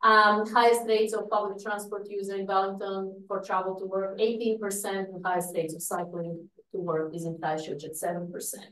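A woman's voice: mid-range voice, moderate pace. Roomy, distant woman giving a seminar. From Croatia. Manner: lively, with light laughs and frequent fillers. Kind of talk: academic seminar talk. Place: seminar room. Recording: echoey room, speaker far from mic.

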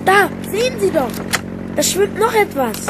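Brave, keen little aristocrat boy, high voice, radio drama, heavy background noise. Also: sing-song, normal speed. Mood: alarmed. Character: eager young boy, brave son of a lord. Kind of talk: German radio play acting. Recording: loud background noise over studio drama.

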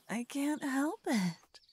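A woman voicing a cocky, playful lover who whispers and teases softly in an ASMR roleplay. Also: high-pitched. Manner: playful, teasing, soft whispering. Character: cocky playful young woman, a devoted lover waking her partner. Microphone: binaural mic.

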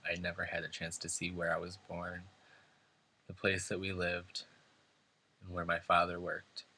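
A man's voice: low voice, completely flat delivery, normal speed, sharp tone, husky. A man from New York State reading a prepared eulogy at a funeral, earnest and emotional. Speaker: son giving a eulogy. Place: funeral service before family and friends.

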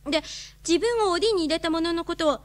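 Young heroine, high voice, girlish, earnest, colloquial, radio drama. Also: brisk. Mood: concerned. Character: earnest, impulsive young woman heroine and novelist. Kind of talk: radio drama acting and first-person narration.

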